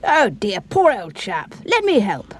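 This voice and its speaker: A woman's voice: medium pitch, wildly swooping pitch, a little slow, dry recording, soft background music. A woman voicing an eager, bumbling little cartoon busybody girl, fussy and politely old-fashioned. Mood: eager, concerned. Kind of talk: fan-dubbed children's cartoon acting.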